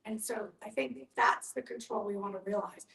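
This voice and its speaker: A woman's voice: medium pitch, fairly even intonation, moderate pace, gravelly, fairly bright tone. A woman speaking as a panelist in an earnest group discussion, explaining her points with emphasis. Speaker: panelist at a discussion on end-of-life planning. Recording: roomy echo, distant from the mic.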